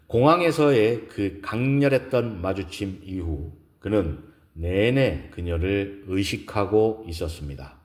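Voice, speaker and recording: A man narrating a romantic audio drama in a scripted, slow and solemn style, with measured pauses. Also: lowish voice, a little mellow.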